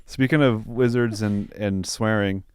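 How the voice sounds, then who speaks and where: A man's voice: lowish voice, fairly even intonation, a little slow, darkish tone, smooth. A man co-hosting a podcast, chatting casually in a joking, informal way. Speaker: podcast co-host. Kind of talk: casual comedic chat.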